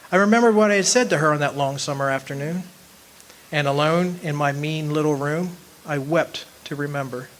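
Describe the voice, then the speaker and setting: A man's voice: fairly high pitch, fairly bright tone, close to an everyday voice. A man acting in a radio drama as an earnest, homesick country man, with a wistful, folksy way of talking. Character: homesick young man of twenty-five, lovesick, earnest, remembering. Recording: noisy live amateur stage recording.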